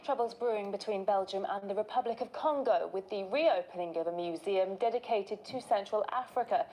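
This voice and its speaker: A woman presenting a scripted news report in an even, formal newsreading manner. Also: mid-range voice, brisk, poor audio.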